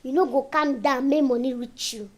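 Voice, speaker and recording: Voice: high-pitched, fairly even intonation, normal speed, darkish tone, natural voice. An actor playing a bossy, scornful young person with a Nigerian accent, giving orders in a drama. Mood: scornful scolding. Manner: scornful, commanding. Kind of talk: acted Nigerian Pidgin comedy-drama dialogue.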